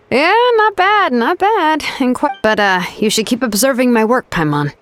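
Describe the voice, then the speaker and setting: A woman playing a cool, pragmatic young blacksmith in a video game, explaining things in an unhurried, matter-of-fact way. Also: high-pitched, very animated, fairly bright tone, slight background noise, full character voice. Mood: amused, casual. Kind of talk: English video game voice acting.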